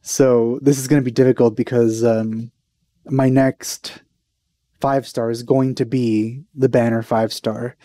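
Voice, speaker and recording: A man's voice: mid-range voice, little pitch movement, measured pace, warm tone, dry recording. A young man chatting casually as a reaction video host, informal and enthusiastic, speaking into a headset. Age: young adult. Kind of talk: casual chat reacting to a game trailer. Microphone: headset microphone.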